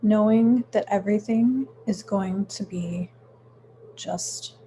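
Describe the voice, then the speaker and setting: Slow, mellow woman guiding a meditation. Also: medium pitch, slight background noise, rough recording. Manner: soothing, unhurried, with frequent pauses. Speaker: meditation guide. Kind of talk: guided meditation, gentle instruction.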